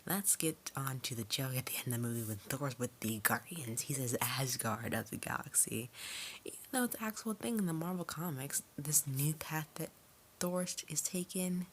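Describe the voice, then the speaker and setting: A woman's voice: a bass voice, lively intonation, moderate pace, slight background noise. A woman giving a casual, conversational movie review in an informal, rambling way, recorded at home. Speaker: video reviewer.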